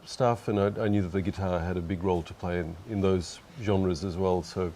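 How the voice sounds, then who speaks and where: A man, chatting in an interview in a relaxed, thoughtful way. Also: low voice, a little fast, slight background noise. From Australia. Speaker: guest musician. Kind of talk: casual interview chat.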